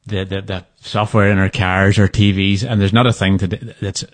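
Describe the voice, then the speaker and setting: Hesitant man with a low, dry voice. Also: level tone, normal speed, dry recording. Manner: hesitant, many restarts and fillers. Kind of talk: casual interview answers.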